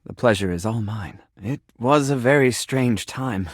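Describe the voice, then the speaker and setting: A man's voice: medium pitch, unhurried, breathy edge, no room sound, clean studio sound. A man voicing a pompous, melodramatic talking sink in a video game, with the formal diction of a self-important claims adjuster. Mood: suave, flirtatious. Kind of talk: video game character acting.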